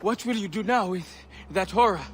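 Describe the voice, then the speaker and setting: An actor playing a proud, loyal man of ancient Egypt in a video game, with an earnest, expressive delivery. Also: sits high, fairly quick, very noisy, close to an everyday voice. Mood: reflective. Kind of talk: dramatic video game acting. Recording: faint music behind the voice.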